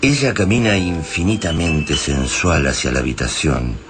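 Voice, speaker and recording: An Argentine actor playing a solemn literary narrator in a science fiction radio drama. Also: male voice, rumbling low, normal speed, noisy background.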